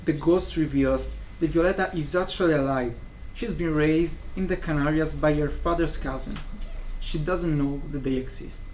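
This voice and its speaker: A man's voice: fairly high pitch, normal speed, darkish tone, rough recording. A young man reading scripted narration of a stage play. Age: young adult. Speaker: student narrator of a play summary. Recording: faint room noise.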